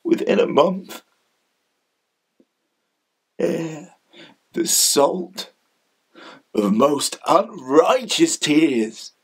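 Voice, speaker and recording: An actor with a New Zealand accent playing a grieving, bitter young prince in a theatrical Shakespearean monologue, halting and breathy. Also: male voice, fairly high pitch, full character voice. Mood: outraged grief, then bitter, pained. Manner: slow, halting, anguished delivery with weighted pauses.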